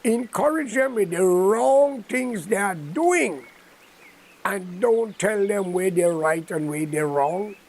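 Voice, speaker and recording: A man's voice: sits high, animated, takes it slowly, vocal fry, darkish tone. An older man with a Guyanese accent giving an impromptu political address in a preachy, admonishing, emphatic manner. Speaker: political commentator.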